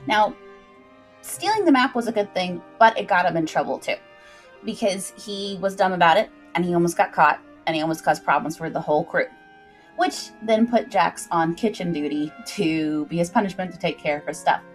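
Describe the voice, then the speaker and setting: A woman's voice: fairly low pitch, expressive, moderate pace. Chatty woman streamer over background music. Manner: chatty, explanatory, addressing viewers directly. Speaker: storyteller and livestream host. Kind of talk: informal livestream story recap.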